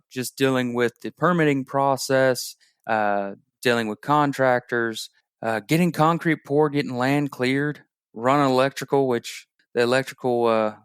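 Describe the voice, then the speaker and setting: A man guest on a podcast, telling stories in a relaxed way with frequent fillers. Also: mid-range voice, clear, resonant tone, no room sound, clean studio sound. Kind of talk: casual conversation.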